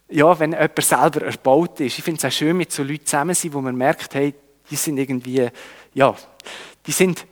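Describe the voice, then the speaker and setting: A man's voice: on the high side, long reverb tail. A man with a Swiss German accent teaching a Bible study in a conversational way, with tag questions and restarts. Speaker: preacher.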